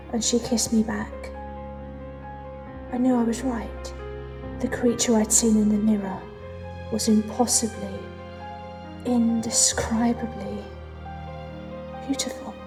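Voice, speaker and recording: A woman with a British accent playing a lonely, introspective woman in an audio drama, speaking intimately with deliberate pauses. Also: fairly high pitch, level tone, music in the background. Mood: tender, wondering. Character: lonely, introspective woman telling her dark love story. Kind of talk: dramatic first-person audio drama narration and dialogue.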